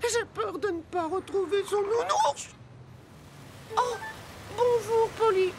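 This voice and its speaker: A woman's voice: very high voice, slow, very noisy, heavily acted. A woman voicing an earnest, conscientious little talking bus in a French children's cartoon dub, with an emotive, fretful manner. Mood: worried, upset. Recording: music behind the voice.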